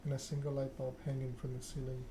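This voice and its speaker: A man reads a story game aloud in a soft ASMR style. His pitch is middling and stays quite flat, with clear noise behind him. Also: fast talker. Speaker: narrator reading a video game aloud.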